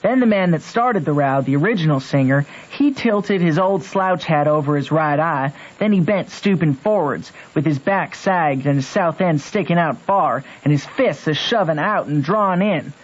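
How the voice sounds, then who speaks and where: A man's voice: a little high, moderate pace, hardly any pauses, close to an everyday voice. An actor playing a plainspoken country boy, telling his adventure in folksy, colloquial radio narration. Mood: vivid storytelling. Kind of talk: first-person storytelling in old radio drama. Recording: muffled old radio recording.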